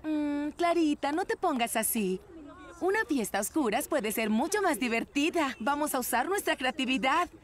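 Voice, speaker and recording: A woman's voice: high-pitched, animated, normal speed. A woman voicing a kindly, encouraging mother of a teenage girl. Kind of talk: Spanish-language cartoon dubbing. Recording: music behind the voice.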